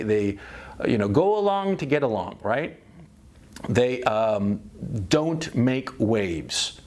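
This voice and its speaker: A middle-aged man from Chile giving a blunt, profane opinion monologue, often repeating words as he starts a thought. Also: mid-range voice. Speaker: commentator.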